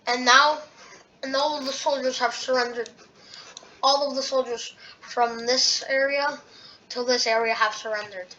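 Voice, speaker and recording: Halting young narrator, muffled and noisy. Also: female voice, sits high, fairly even intonation, sharp tone. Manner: halting, with many restarts. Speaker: narrator of a map game. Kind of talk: casual play-by-play narration. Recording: dull lo-fi audio with clear background noise.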